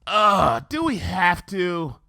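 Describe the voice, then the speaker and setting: Man as a brash, high-voiced girl pegasus. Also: very animated, slow pace, sharp tone, no room sound, studio quality, full character voice. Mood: grudging. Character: brash, casual young female cartoon pegasus pony.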